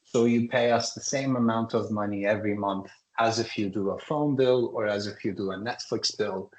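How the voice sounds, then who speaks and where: A man, a startup founder, giving polished, pitch-like answers in an interview. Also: fairly even intonation, normal speed, rough recording. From Turkey. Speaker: startup CEO guest.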